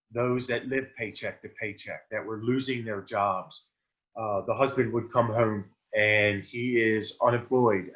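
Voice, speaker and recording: A man's voice: mid-range voice, a bit bright. A man from Delaware, a political candidate, giving an earnest, plain-spoken campaign talk on a video call with many fillers. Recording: dull lo-fi video call audio.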